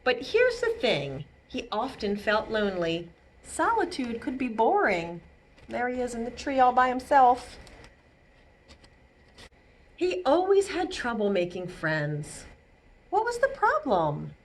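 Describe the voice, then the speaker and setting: A woman's voice: a little high, huge pitch swings, takes it slowly. A woman reading a picture book aloud to young children as a storytime librarian, expressively, with simple asides explaining words and pictures.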